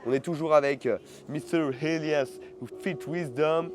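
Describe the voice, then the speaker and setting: A man's voice: on the high side, steady tone, measured pace. A man chatting casually to his followers in a travel vlog, in informal, slangy speech with phrases repeated for emphasis. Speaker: vlogger; online course seller. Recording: noisy on-location vlog sound.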